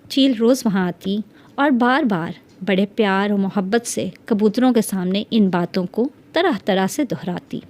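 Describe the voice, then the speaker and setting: A woman's voice: fairly high pitch, a little mellow. A woman narrating a scripted fairy tale as a storyteller.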